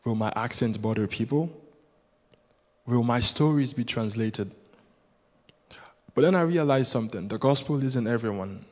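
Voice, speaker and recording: A man's voice: mid-range voice, moderate pace, long pauses, darkish tone. A man whose first language is Yoruba, preaching a sermon as a guest preacher in an earnest, rhythmic way that builds with repetition. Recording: long hall reverb.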